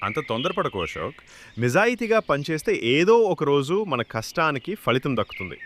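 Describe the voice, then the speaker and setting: A man playing an honest, humble young villager in an animated moral story, speaking earnestly and cautiously. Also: on the high side, normal speed, a little hiss or hum. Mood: reassuring.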